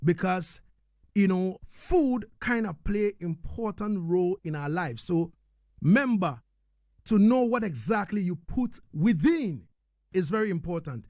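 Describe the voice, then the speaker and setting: A man hosting a live radio interview, deliberate and repeating words for emphasis. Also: high voice, expressive, darkish tone, no room sound, clean studio sound. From Ghana. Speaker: radio host.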